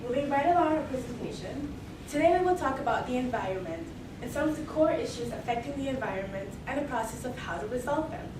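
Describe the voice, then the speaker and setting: A young female student delivers a rehearsed business pitch with steady formality. Her slightly high voice comes from far off in a reverberant hall. Steady background noise sits under it. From Mexico and Belize. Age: young adult. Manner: measured, formal pitching with repeated "moving right along". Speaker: student presenter. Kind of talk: rehearsed case presentation. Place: large echoey hall, heard from afar. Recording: distant echoey hall recording with noise.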